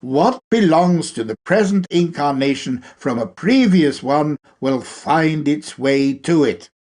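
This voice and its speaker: Male reader, higher-pitched voice, very slow pace, measured and emphatic, spiritual lecture reading. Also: lively intonation, darkish tone. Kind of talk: read-aloud spiritual lecture.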